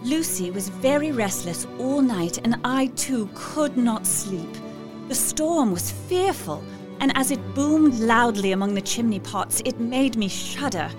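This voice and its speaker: A woman's voice: fairly high pitch, lively intonation, measured pace, music in the background. A woman playing an earnest, anxious young Victorian lady reading out her diary in an audio drama, genteel in manner.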